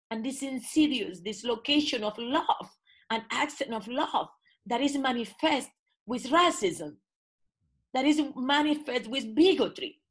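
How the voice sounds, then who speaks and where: A woman's voice: fairly high pitch, breathy edge. A woman whose first language is not English, an artist and professor speaking and reading her own poem in an interview. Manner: slow, impassioned, with rising emphasis. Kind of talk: reflective spoken essay and poetry reading.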